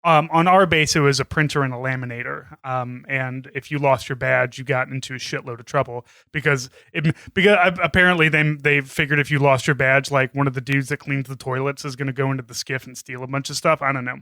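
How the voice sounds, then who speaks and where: A man with a bright, forceful voice trades jokes as a podcast co-host, animated and loose, swearing now and then. He sits close to the mic in a dry room with no echo. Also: medium pitch, fairly quick, hardly any pauses. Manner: jokey, animated, swears casually. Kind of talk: casual chat.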